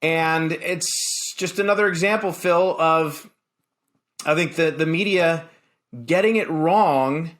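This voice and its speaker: An American man hosting a political talk show, giving conversational commentary in a halting, emphatic way that often repeats words. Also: a little high, fairly bright tone.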